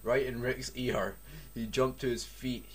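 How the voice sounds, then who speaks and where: A man's voice: mid-range voice, steady tone, unhurried, breathy edge. A young Scottish man reading a badly written story aloud, mocking it with swearing and jokes. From Scotland. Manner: sarcastic, swears a lot, breaks off to joke. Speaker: reader.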